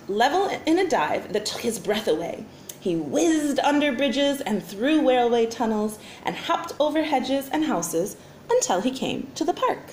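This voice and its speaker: A woman reads a children's book aloud with theatrical flair. Her voice sits fairly high and swings widely in pitch. The room adds clear echo, with faint noise behind her. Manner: animated, dramatic, acts out sound effects. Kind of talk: expressive storybook narration for children.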